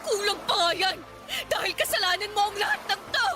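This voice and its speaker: Hurt young woman, very high pitch, feisty, emotional, confrontational, Filipino radio drama, music behind. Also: lively intonation, a little fast. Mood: angry, tearful. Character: pregnant, betrayed young office woman, wounded but feisty.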